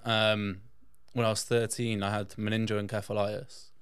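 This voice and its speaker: Smooth, low-voiced man from England. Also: level tone, normal speed, no room sound. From England, born in Yorkshire, raised in south-west England. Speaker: podcast guest. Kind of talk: casual personal storytelling in an interview.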